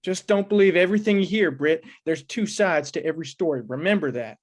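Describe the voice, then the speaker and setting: A woman's voice: fairly low pitch, brisk, lightly acted. A woman playing a touchy, guarded small-town banker in an old-time western radio drama, talking in a curt, folksy way. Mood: defensive.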